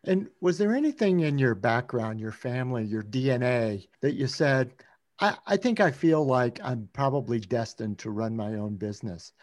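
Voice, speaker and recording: A man's voice: medium pitch, expressive, moderate pace, a little mellow. Conversational male podcast co-host. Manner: conversational, probing. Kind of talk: interview questions.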